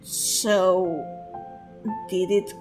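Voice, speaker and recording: A woman's voice: on the low side, fairly even intonation, very slow, over music. A woman streaming a game, thinking aloud in a hesitant, casual way and laughing often. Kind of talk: gameplay commentary.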